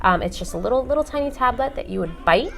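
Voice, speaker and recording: A woman's voice: on the high side, expressive, gravelly, fairly bright tone. A woman who owns a local shop, chatting in an interview and explaining her products with lots of "um" fillers and small laughs. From born in North Africa, raised in New York. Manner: chatty and informative, frequent "um" fillers and quick laughs. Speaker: business owner, guest. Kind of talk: casual interview conversation. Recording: music playing underneath.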